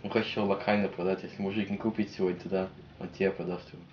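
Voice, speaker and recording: Young male, deep flat delivery, informal go-between, captured on a lo-fi camera across the table. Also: racing. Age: young adult. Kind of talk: casual interpreting of a phone deal. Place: kitchen indoors. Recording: roomy, dull lo-fi, clear noise.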